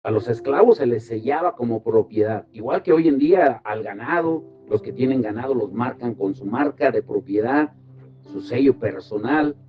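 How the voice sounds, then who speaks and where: A man's voice: medium pitch, expressive, normal speed. Man preaching with questions. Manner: asks rhetorical questions and directs listeners to verses. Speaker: pastor leading a devotional Bible study. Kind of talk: teaching sermon. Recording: slightly dull with music behind.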